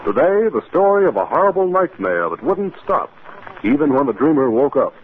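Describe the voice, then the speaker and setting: An actor narrating a 1950s radio drama as a theatrical, measured storyteller and announcer. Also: male voice, fairly high pitch, big pitch swings, breathy edge. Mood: dramatic. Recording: narrow-band old radio recording. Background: music.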